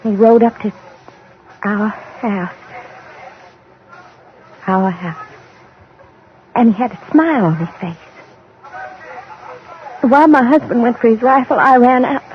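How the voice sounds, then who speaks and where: A bitter, haunted widow in an old-time radio drama. Her voice is high and halting, full of feeling, on a muffled vintage recording with faint noise. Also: animated. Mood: anguished, haunted. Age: middle-aged. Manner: halting, emotional, repeating phrases.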